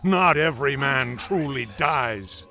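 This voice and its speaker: A morbid necromancer from a video game, relishes every sinister word. The voice is mid-pitched and tense, delivered slowly. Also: male voice, expressive, bright, forceful tone. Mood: morbidly gleeful. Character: morbid necromancer, creepily in love with death. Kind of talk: video game unit voice lines.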